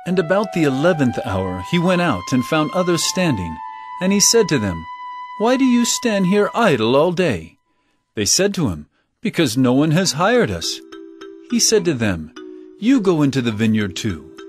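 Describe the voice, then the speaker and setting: A man playing an authoritative teacher in a Bible audio drama, speaking in a measured, solemn, instructive way. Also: a little high, huge pitch swings, soft background music. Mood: expressive storytelling. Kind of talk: audio drama scripture storytelling.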